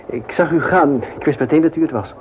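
Actor as a courteous suitor on muffled old radio. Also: male voice, a little high, brisk, close to an everyday voice. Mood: polite, slightly nervous. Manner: polite, slightly hesitant, bantering. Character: courteous, gently flirtatious single father on a blind date. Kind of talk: radio play acting. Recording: dull old radio recording with noise.

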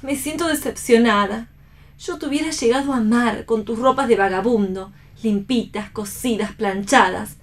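A woman's voice: a little high, normal speed, close to an everyday voice. An Argentine woman playing a romantic, wistful actress in love in a radio theatre reading. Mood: disappointed.

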